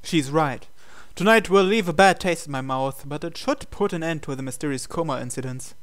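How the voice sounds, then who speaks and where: A man reading visual novel text aloud as a measured, dramatic storyteller and host. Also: on the high side, expressive, a bit bright.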